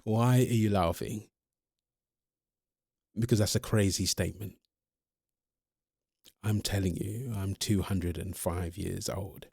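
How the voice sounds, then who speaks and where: A man's voice: lowish voice, vocal fry, dry recording, clean studio sound, heavily acted. A man playing an earnest centuries-old vampire in love, polite and insistent, in an ASMR-style audio roleplay. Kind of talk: ASMR audio roleplay, one-sided interview.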